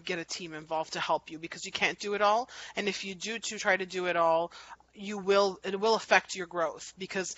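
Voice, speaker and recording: A woman's voice: on the low side, bright, forceful tone. A woman panelist giving emphatic, insistent business advice over a video call. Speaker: panelist entrepreneur. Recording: dull, dry video call audio.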